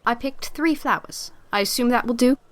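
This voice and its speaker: A woman's voice: fairly high pitch, moderate pace, heavy background noise, lightly acted. An actress playing a confident, refined lady professor and adventurer in an audio drama, poised and self-assured.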